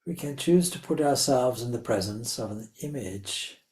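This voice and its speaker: A man leading a guided meditation as a spiritual teacher, speaking deliberately in short phrases with long pauses. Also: medium pitch, animated, a little mellow.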